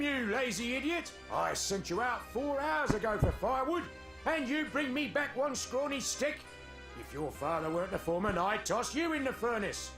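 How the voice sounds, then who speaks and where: Gravelly, high-pitched bullying foreman. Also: full character voice. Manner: scolding, sarcastic. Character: bullying, ill-tempered foreman of a forge. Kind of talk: video game acting. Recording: roomy echo, music behind.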